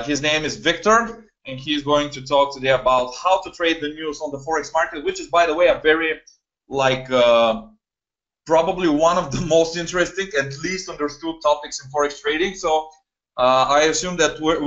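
Young male host, slightly high-pitched, chatty filler-heavy delivery, muffled webinar sound. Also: fairly bright tone. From Bulgaria. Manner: chatty, greets attendees, many fillers. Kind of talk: live webinar talk. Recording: dull lo-fi webinar audio.

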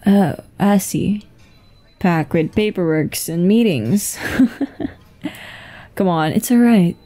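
A mellow actress playing a flirty businesswoman chats across a dinner table in an audio roleplay. She is soft, casual and easygoing, taking her time over each phrase, with music playing behind her. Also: lowish voice. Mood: relaxed, chatty. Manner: unhurried, giggly, casual. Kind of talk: intimate ASMR roleplay acting, one-sided conversation.

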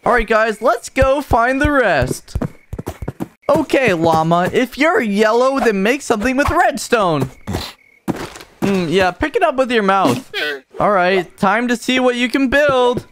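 A woman voicing an excitable orange stick figure in an amateur cartoon fan dub, searching for lost friends with casual, youthful slang and exclamations. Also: on the high side, unhurried, noisy background. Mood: searching, chatty.

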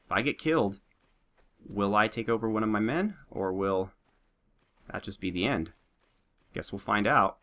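A man narrating his game in a lively, joking way, his pitch swinging widely as he urges on his men and teases his enemies. He sounds slightly sick, a touch nasal with a sore throat, on a lowish voice with a bright, forceful edge. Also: normal speed, long pauses. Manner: animated, joking, talks to his troops and viewers. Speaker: let's play host. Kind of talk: gameplay commentary.

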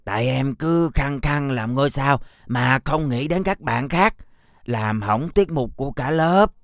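A woman's voice: extremely low pitch, a little slow, no room sound, clean studio sound. A woman voicing a showy, heartfelt young schoolboy in a children's cartoon. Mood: remorseful. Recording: loud music behind.

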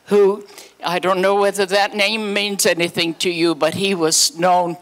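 A woman's voice: fairly low pitch, level tone, a little slow. A woman in her eighties or older reminiscing to a live audience on a handheld microphone, chatty and anecdotal, acting out quoted dialogue. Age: 80s or older. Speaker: guest speaker, former child actress. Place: hotel meeting room, indoors.

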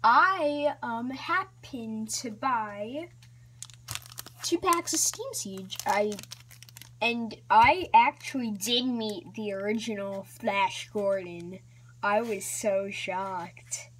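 A woman hosting a casual card-opening vlog, talking in a hesitant, rambling way with many ums and restarts, sometimes dropping to a soft whisper. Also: fairly high pitch, very slow, poor audio. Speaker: channel host.

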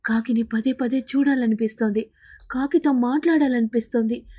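A woman voicing a lovesick, bossy female pigeon in an animated bird fable, insistent and emphatic. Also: on the high side, moderate pace, lightly acted.

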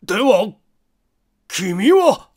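A man puts on an insistent, practical police inspector for a mystery audiobook. The voice is raised high and swings in pitch, with emphatic delivery. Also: big pitch swings, very slow, a little mellow, heavily acted. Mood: pressing.

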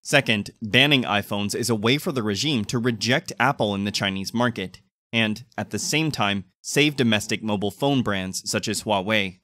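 A man's voice: fairly low pitch, moderate pace, bright, forceful tone, dry recording. A man reading scripted news narration as a news narrator.

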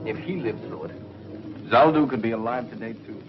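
A man plays a serious scientist in an old sci-fi serial, mid-pitched and authoritative. The soundtrack is muffled, with faint noise behind his words. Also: moderate pace, close to an everyday voice. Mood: concerned. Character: grave, bearded scientist, concerned and commanding. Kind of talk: 1950s television serial acting.

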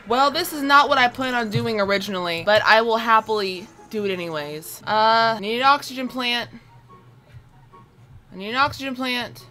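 A woman's voice: on the high side, normal speed, soft background music. A woman in her twenties giving casual, playful let's play commentary, talking to herself with slangy exclamations. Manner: animated self-talk, playful exclamations and slang. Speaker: gaming video host. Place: small room indoors at home. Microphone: separate mic with phone as backup.

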